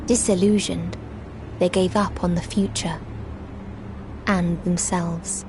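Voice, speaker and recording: An actress playing a mournful, otherworldly being who speaks for many in a video game, in solemn, declamatory, archaic language. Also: female voice, on the low side, fairly even intonation, slow, noisy background. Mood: grave reporting. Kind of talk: video game cutscene monologue, formal archaic narration.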